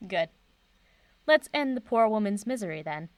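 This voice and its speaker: A woman playing an eminent older professor and doctor in an audio drama, speaking in a composed, measured and formal way, kind and wry. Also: medium pitch, lively intonation, a little hiss or hum, natural voice. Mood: wry, assured.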